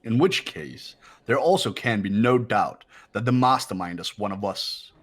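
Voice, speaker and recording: A man's voice: fairly low pitch, animated, a little slow. A man voicing a cold, confident teenage boy who lectures the group in a fan-voiced game.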